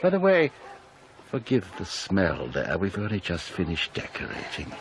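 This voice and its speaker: An actor playing a possessive, sardonic older husband in a radio drama, English-accented, deliberate and theatrical in delivery. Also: male voice, low-pitched, wildly swooping pitch, a little hiss or hum, exaggerated voice. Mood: mock-courteous. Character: pompous, possessive husband, sardonic country-house host. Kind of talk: 1990s radio drama acting.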